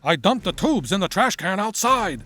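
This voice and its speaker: An eccentric older inventor for a motion comic. His voice sits very high, and he speaks in grand, wordy exclamations, secretive and excitable, over light background music. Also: moderate pace, exaggerated voice. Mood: brisk, explaining. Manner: theatrical, exclamatory, wordy diction. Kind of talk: dramatic character acting for a motion comic.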